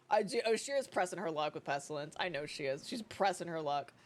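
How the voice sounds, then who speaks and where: Casting: woman, English not her first language, low voice with a very lively swing, chatty streamer who curses and laughs easily. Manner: chatty, swears freely, laughs often. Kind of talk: casual chat with stream viewers.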